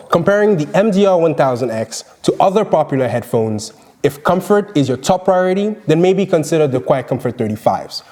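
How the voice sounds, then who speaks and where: A man reading a scripted review narration in a measured, explanatory way, as a product reviewer. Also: mid-range voice, mellow.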